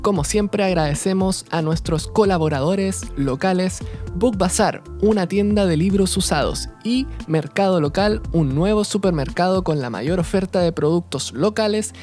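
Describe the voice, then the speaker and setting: A woman's voice: on the low side, dark tone, faint music behind. Woman host reading a scripted introduction. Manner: measured, read-aloud delivery. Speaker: podcast co-host.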